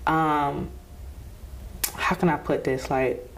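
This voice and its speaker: A young woman with a low voice chats as a podcast guest. She is lively and talkative, quick to laugh and to praise her host. Her talk is casual and open. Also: poor audio. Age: young adult. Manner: lively, laughs often, hypes people up.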